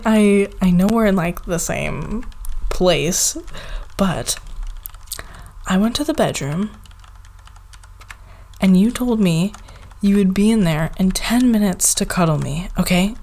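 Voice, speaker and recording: Woman acting as clingy girlfriend, ASMR roleplay, very unhurried pace, soft halting speech, playful, pleading, affectionate. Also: mid-range voice, sing-song, heavily acted. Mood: wistful, mock hurt. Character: needy, playful, affectionate young girlfriend craving cuddles.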